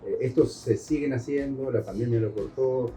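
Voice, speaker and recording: A man's voice: mid-range voice, moderate pace, dark tone, reverberant. A man with an Argentine accent hosting an interview, asking questions in a friendly, informal way. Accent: Rioplatense Argentine Spanish. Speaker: host interviewer.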